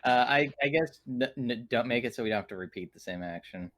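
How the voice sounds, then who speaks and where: A man chatting casually as a player in a tabletop game, halting and stammering as he thinks aloud. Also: medium pitch, animated, fairly bright tone.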